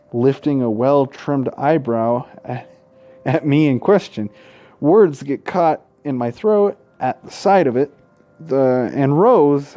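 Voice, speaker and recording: A man's voice: mid-range voice, warm tone. A man reading game narration aloud in a let's play, irreverent and sweary, often tripping over the words. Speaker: gaming YouTuber.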